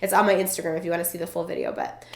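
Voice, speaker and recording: A woman's voice: lowish voice, level tone, very fast. Chatty congested woman in a roomy room. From Vermont. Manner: chatty, bubbly, lots of fillers. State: stuffed up, congested. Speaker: vlogger. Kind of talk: casual chat to camera. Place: indoors at a relative's home. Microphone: camera in front while seated. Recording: roomy echo.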